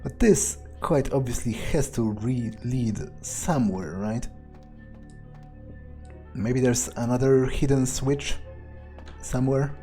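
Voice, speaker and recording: A man's voice: medium pitch, takes it slowly, pauses often, dark tone. A man giving in-character video game commentary, thinking aloud in a hesitant, musing way with dry understatement. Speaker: video game player role-playing his character. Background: faint music.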